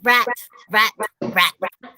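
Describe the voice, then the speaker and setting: A woman's voice: medium pitch, slow pace, pushed and bright, no echo. A woman playing a bratty young girl in a children's story, whiny, taunting and dramatic. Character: bratty sneering young sister, whiny and indignant.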